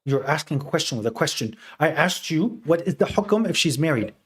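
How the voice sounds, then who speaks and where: A man's voice: fairly high pitch, lively intonation, fairly quick, a bit bright, a little hiss or hum. A man debating in a heated argument, pressing the same short questions again and again. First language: Arabic. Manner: insistent, repeats short questions and fillers. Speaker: debater defending his view.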